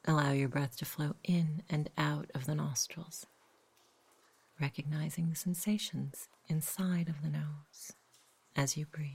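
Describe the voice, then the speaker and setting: Low, breathy whispering woman meditation guide. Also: steady tone. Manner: soft, gentle, unhurried. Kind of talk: guided sleep meditation, slow soothing narration.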